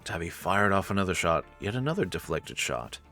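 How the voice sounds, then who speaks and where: A man with a low voice narrates a visual novel. His delivery is scripted, dramatic storytelling, with soft music underneath. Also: sing-song, fairly quick.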